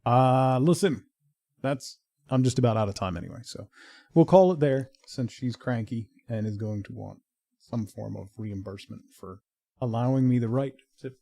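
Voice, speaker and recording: A man's voice: mid-range voice, moderate pace, gravelly, warm tone, dry recording, clean studio sound. A middle-aged man casually chatting on a game livestream in a rambling, joking, mock-scolding way. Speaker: game streamer. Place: indoors at home. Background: faint music.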